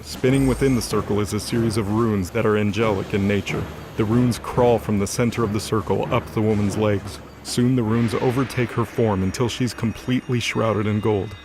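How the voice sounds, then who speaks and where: A man's voice: on the low side, steady tone, moderate pace, few pauses, noisy background. A man giving scripted dramatic narration as the narrator of an audio drama. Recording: music under the voice.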